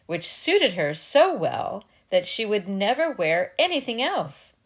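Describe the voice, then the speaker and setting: A woman storyteller giving a dramatic storybook narration with casual asides. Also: fairly low pitch.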